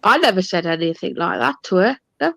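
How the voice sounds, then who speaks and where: A woman's voice: mid-range voice, animated, a little fast, vocal fry, fairly bright tone, dry recording, soft background music. A British woman arguing heatedly in a blunt, indignant, foul-mouthed manner. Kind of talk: heated argument.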